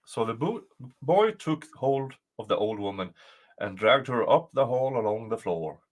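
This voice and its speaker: A male storyteller reads a folktale aloud. His intonation swings widely, and he phrases things deliberately for dramatic effect. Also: mid-range voice, big pitch swings. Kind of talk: expressive folktale reading.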